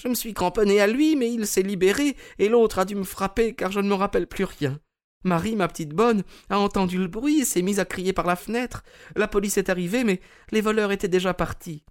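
A man voicing a pale, frail older widow in an audiobook, speaking softly and plaintively in a raised voice. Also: sits high, fairly quick, voice pushed up, natural voice. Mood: weary plaintive. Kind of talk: audiobook character reading.

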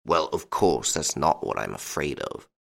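A man's voice: rumbling low, lively intonation, unhurried, creaky, voice pushed down, full character voice. A man voicing an anxious, crabbed and proud old duke in a storybook reading. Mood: fearful, brooding. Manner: anxious, indignant.

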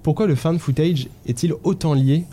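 A man's voice: fairly high pitch, normal speed, slightly rough. A man hosting a film podcast, introducing topics and leading the talk with some hesitation. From France. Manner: lively, hesitant at times. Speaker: podcast host.

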